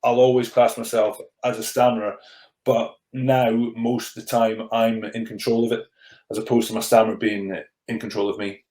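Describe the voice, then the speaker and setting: A man's voice: mid-range voice, level tone, normal speed, mellow. A man in his thirties with a stammer he mostly keeps in check, telling his story earnestly and often repeating words as he restarts. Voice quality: stammer, mostly under control. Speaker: podcast guest, rugby player turned coach. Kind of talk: candid personal storytelling in conversation. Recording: echoey room.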